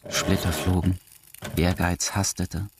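Very deep, gravelly male narrator. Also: little pitch movement, slow pace. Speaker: narrator of a radio drama. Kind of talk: dramatic literary narration. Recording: clearly noisy recording with clicks.

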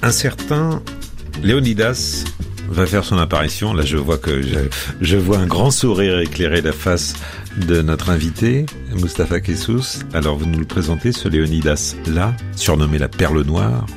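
A man with a deep, very low voice hosts a radio interview. He puts his questions in measured, literary phrases, with faint music underneath. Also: animated.

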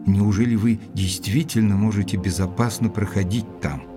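Casting: male actor for a restrained, doubting gentleman detective-narrator, fairly low voice, measured delivery, dramatised audiobook. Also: fairly even intonation, music in the background, lightly acted. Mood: curious. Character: reserved, sceptical gentleman investigator telling his story. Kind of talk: dramatised first-person narration and dialogue.

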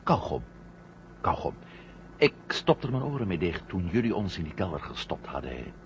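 A male actor playing a defiant, wry astronaut hero with a gravelly voice in a 1970 science fiction radio drama. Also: sits low, sing-song, normal speed. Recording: muffled old radio recording, clear noise.

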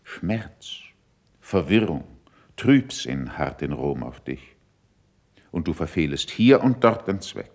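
An actor playing a measured, worldly, admonishing senior courtier in a classical verse radio drama, speaking gravely with a gravelly voice. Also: male voice, low-pitched, sing-song, darkish tone, exaggerated voice. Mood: grave warning. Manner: deliberate, grave, weighty declamation.

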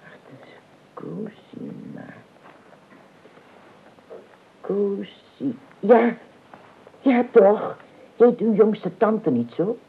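A cautious, deferential housekeeper in a radio drama. She speaks slowly in a husky voice with wide swings of pitch. The vintage recording sounds tinny. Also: very animated, exaggerated voice. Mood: puzzled. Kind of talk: radio play acting. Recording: tinny, band-limited old radio recording.

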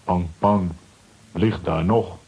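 Very slow, deep manservant. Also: male voice, fairly even intonation, mellow, breathy edge, dull audio, exaggerated voice. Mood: stolid. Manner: clipped telegram-like phrases without pronouns, deliberate. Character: terse, slow-witted manservant in a spooky castle. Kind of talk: children's radio play acting.

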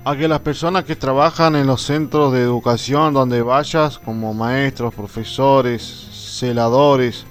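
A man's voice: medium pitch, steady tone, unhurried, few pauses. A man presenting and reading aloud on an amateur radio programme, with music behind him.

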